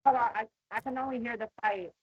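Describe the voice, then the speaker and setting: A woman's voice: fairly high pitch, fast talker, husky, dry recording, dull audio. A woman with a New York accent chatting casually as a podcast guest, in a cocky, playful, slangy way. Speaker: reality TV personality, podcast guest. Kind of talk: casual chat.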